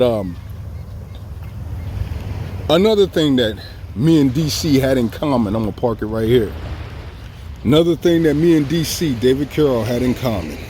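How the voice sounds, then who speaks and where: A man's voice: mid-range voice, noisy background. Socal dude vlogging on his phone by the beach, laid-back and rambly, waves faint behind him. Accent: Southern Californian. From Southern California. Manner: relaxed, rambling, repeats himself, chuckles often. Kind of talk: casual tribute vlog. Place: outdoors by the beach. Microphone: phone or camera filming himself.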